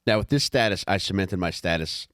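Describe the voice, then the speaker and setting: A man narrating a comic memoir audiobook in a wry, measured, profane way. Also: low-pitched, a bit bright, clear, resonant tone, dry recording, clean studio sound.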